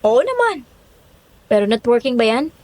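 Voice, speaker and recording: A woman's voice: a little high, very animated, moderate pace, darkish tone, no echo. An actress playing a wary, questioning female cook in need of work, in a horror audio drama. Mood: wary, curious.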